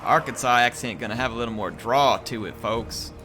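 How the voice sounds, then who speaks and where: A man's voice: medium pitch, brisk, music in the background. Playful man commentating a strategy game. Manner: playful, jokey, chatting to viewers. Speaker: gaming channel host. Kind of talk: live gameplay commentary.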